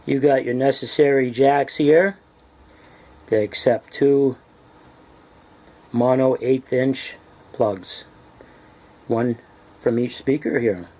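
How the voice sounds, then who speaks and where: A man presenting a casual hands-on tutorial as a hobbyist, speaking in a halting, deliberate, plain-spoken way. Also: mid-range voice, dark tone. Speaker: hobbyist presenter demonstrating radio gear.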